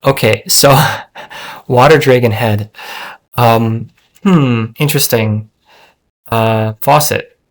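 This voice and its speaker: A man's voice: medium pitch, steady tone, slow pace, pauses often. A man demoing his language-learning app on screen, talking in a casual, thinking-aloud way with lots of fillers and restarts. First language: English. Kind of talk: casual screen-share software demo.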